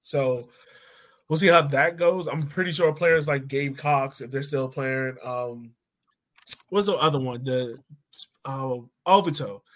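A man with a medium-pitched voice hosts a casual sports chat. He talks informally in the role of a podcast host. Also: unhurried, a bit bright.

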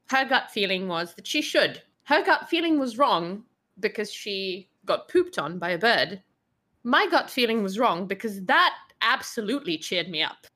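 A woman's voice: mid-range voice, bright, forceful tone. A woman guest on a podcast, chatting and telling a funny personal story. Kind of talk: casual chat, telling an anecdote.

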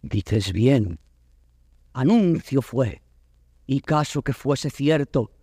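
An actor playing a proud, wary captive prince in classical verse drama, declaiming with weight and emphasis. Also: male voice, medium pitch, expressive, extremely slow, mellow, slightly rough, no room sound, studio quality, heavily acted. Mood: resolute musing.

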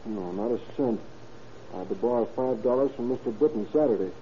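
An actor playing an anxious young nephew, plaintive and earnest, in an old-time radio drama on a muffled, hissy recording. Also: male voice, medium pitch, a little fast, mellow, close to an everyday voice.

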